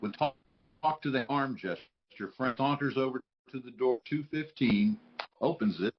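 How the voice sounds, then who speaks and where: A man's voice: medium pitch, moderate pace. A man reading stage directions as scripted narration in a table read. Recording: dull lo-fi audio.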